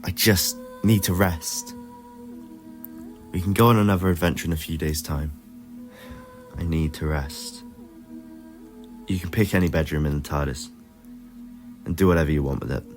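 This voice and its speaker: A man voicing a troubled, moral time-travelling adventurer who is quick to anger, speaking emphatically in a fan audio drama. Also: extremely low pitch, faint music behind. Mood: weary, confused. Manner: emphatic, indignant.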